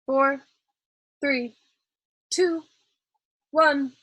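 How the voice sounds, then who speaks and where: An earnest, oblivious army major from a storybook, voiced by a woman. He calls out intently in a raised pitch, with a dry, close, slightly husky sound. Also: fairly even intonation, extremely slow, fairly bright tone, dry recording, full character voice, rough recording. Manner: calling out a countdown. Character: earnest, oblivious, easily frightened male major.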